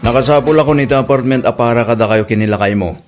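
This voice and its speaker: A man's voice: mid-range voice, fast talker, loud music behind the voice, close to an everyday voice. Guy as a landlord type, businesslike, normal mid voice, comedy drama. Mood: matter-of-fact. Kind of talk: Ilocano comedy drama acting.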